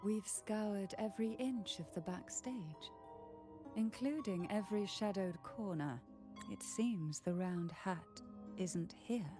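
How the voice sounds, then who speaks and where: An actress playing a calm, mysterious woman companion in a fantasy video game, speaking in a measured, poetic, soothing way. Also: mid-range voice, lightly acted. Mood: serene, encouraging. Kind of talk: dramatic video game voice acting. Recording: game music behind the voice.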